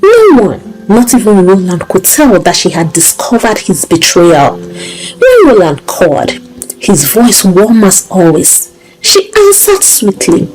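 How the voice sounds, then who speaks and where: A woman narrating a scripted story in a dramatic, expressive and emotive way. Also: mid-range voice, measured pace, rough recording. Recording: music behind the voice. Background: music.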